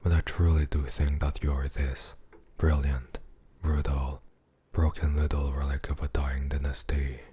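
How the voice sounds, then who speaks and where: A man playing a possessive, menacing, seductive mafia boss in an ASMR roleplay monologue, with a husky, breathy voice and a condescending, intimate murmur. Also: extremely low pitch, flat delivery, unhurried, dark tone, a little hiss or hum.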